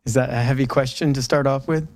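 A man hosting a casual video call, upbeat and quick to laugh, with short encouraging interjections. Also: medium pitch, steady tone, a little mellow, no echo, clean studio sound. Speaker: host.

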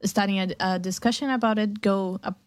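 A woman hosting a faith talk show in a casual, halting way, searching for her words as she goes. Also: medium pitch, steady tone, no echo.

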